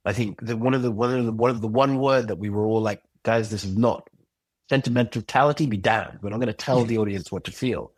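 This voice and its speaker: A man's voice: lowish voice, a little fast, dry recording. A man who creates and directs audio drama, speaking as a guest in a casual interview, earnest, reflective and passionate.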